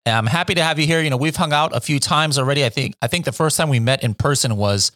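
A man hosting a conversational podcast interview in an informal, animated way. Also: mid-range voice, fast, no room sound, studio quality. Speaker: podcast host.

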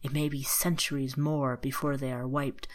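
A woman's voice: extremely low pitch, level tone, normal speed, voice pushed down. Woman playing a cold, coaxing ancient monster hunter. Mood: coldly persuasive. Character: ruthless male monster hunter, centuries old.

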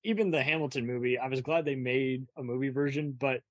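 A man's voice: mid-range voice, brisk, no room sound. A man interviewing on a podcast in a casual, conversational way.

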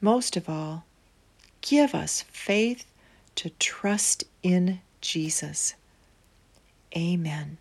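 A woman reading a children's Bible story aloud as host, in an expressive, deliberate storytelling manner. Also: medium pitch, slightly rough.

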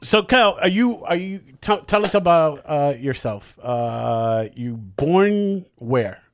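Higher-pitched, joking man. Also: lively intonation, takes it slowly, slight background noise. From born in New York, raised in Puerto Rico. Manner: joking, profane, slips into Spanish. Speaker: podcast host. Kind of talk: casual bilingual podcast chat.

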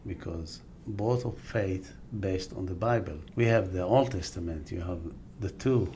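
A man from Iraq whose first language is Aramaic, answering interview questions in a hesitant way with many "uh" fillers and a touch of gentle humor. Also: sits low, a little slow, slight background noise. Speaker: interview guest. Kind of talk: conversational interview.

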